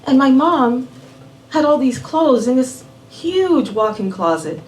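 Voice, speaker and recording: A woman raised in Miami telling a personal story live at a small venue, unhurried and measured, dropping in Spanish words. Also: sits high, very animated, a little hiss or hum. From born in Puerto Rico, raised in Miami. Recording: roomy venue audio from a distant mic.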